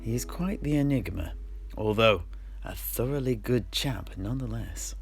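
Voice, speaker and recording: A man playing a chatty fantasy tavern keeper, polite and wordy, with old-fashioned gentlemanly phrasing. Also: medium pitch, expressive, moderate pace, creaky, faint music behind. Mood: courteous. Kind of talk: in-character fantasy tavern conversation.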